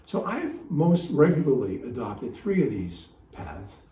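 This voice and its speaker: A man's voice: mid-range voice, sing-song, unhurried, mellow. A man giving a reflective spiritual talk, explaining ideas in a thoughtful way and reading poems aloud. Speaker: psychotherapist reading his own poems and teaching. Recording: roomy, distant mic, faint noise.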